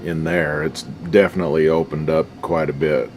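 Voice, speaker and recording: A man's voice: extremely low pitch, level tone, moderate pace, darkish tone, slight background noise. A man giving casual, unscripted commentary as a hobbyist repairer, talking in a folksy, slangy mutter while he works. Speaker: hobbyist radio restorer and video host.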